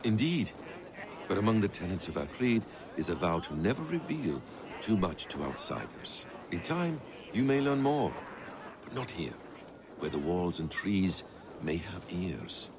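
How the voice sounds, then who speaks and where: A low-pitched mentor of a hidden brotherhood in a video game. He teaches in formal, philosophical phrases with very mobile intonation. There is clear outdoor noise behind him. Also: very animated, measured pace. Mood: calm, guarded. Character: male mentor of a secret assassin brotherhood, measured and wise. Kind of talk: video game cutscene acting.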